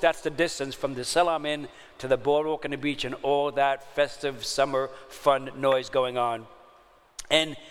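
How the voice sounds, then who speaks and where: A man's voice: medium pitch, a bit bright, big-room sound. A man, preaching a sermon in a chatty way and often checking in with his listeners. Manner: conversational, checks in with the audience often. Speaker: pastor.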